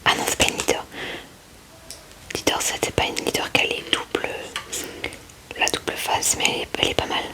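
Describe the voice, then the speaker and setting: A woman whispering ASMR commentary in a breathy, hushed murmur, close to the microphone. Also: brisk, long pauses, low-quality recording. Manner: hushed, murmuring short asides to viewers. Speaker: ASMR creator.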